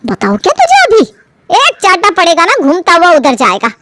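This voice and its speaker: High-voiced scolding cartoon mother, acted by a woman. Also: wildly swooping pitch, moderate pace, background noise, full character voice. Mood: angry, threatening. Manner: scolding, sarcastic. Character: indignant scolding middle-aged mother, short-tempered.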